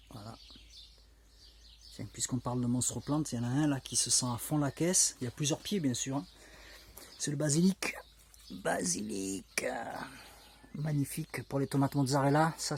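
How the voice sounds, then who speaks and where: A middle-aged man with a southern French accent from the Var talks easily and chattily, voice sitting at an ordinary mid pitch. He is filming himself outdoors in the countryside on a handheld camera. Faint birdsong and rural ambience drift in behind him. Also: slight background noise. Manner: chatty, relaxed, frequent fillers. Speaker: vlogger. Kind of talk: casual walk-through tour.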